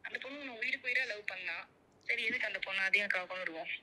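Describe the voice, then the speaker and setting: A woman's voice: mid-range voice, racing, pushed and bright, rough recording. A woman in a heated phone argument, speaking in an indignant, scolding and sarcastic manner. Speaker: wife being prank-called by her husband.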